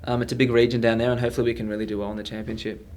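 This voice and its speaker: A man's voice: medium pitch, level tone, racing, darkish tone. A young Australian man, a footballer, answering questions in a casual interview.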